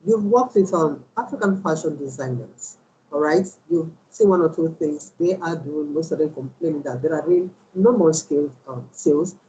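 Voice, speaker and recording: Host, mellow dark tone, faint background hiss, halting delivery. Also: mid-range voice, highs cut off. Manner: hesitant, stammers and repeats "all right?". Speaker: interview host. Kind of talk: interview questions.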